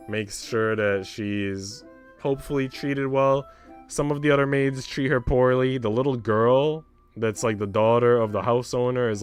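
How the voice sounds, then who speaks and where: A man livestreaming, chatting casually with slangy, sweary talk and reading a visual novel aloud, with music softly behind him. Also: medium pitch. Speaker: live streamer.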